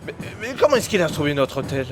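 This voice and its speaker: A man's voice: fairly high pitch, big pitch swings, fast, over music. An actor playing an anxious young man who grows suspicious of his partner. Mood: worried. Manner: tense, questioning. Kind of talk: amateur audio drama.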